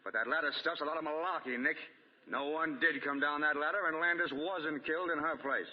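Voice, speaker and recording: An actor playing a cocky, sneering gangster in 1940s radio drama, slangy, sarcastic and defiant. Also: male voice, on the high side, a little fast, close to an everyday voice. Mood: scornful. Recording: dull, lo-fi 1940 radio broadcast.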